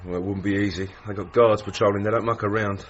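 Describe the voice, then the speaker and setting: An actor playing a plain-spoken young boxer with a British working-class accent, matter-of-fact, in a radio drama. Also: male voice, fairly low pitch, steady tone, fast, close to an everyday voice. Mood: wary. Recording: muffled, noisy radio drama scene.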